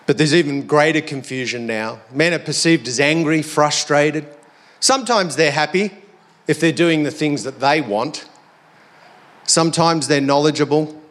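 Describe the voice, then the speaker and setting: Australian male preacher, slightly high voice with a hoarse edge, conversational and reflective sermon delivery. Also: normal speed, a bit bright. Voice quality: slightly croaky. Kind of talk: sermon to a congregation.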